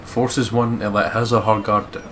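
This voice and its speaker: A man's voice: fairly low pitch, level tone, normal speed, a bit bright, a little hiss or hum. A man reading visual novel narration aloud as a let's play co-reader, breaking into casual asides.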